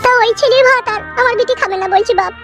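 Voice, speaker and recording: Squeaky, scheming Bengali mother-in-law. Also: quick pace. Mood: pleading, conniving. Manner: fawning, folksy, repetitive endearments. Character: scheming, gushing village mother-in-law, middle-aged woman. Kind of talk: comedy cartoon dialogue. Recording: music behind the voice.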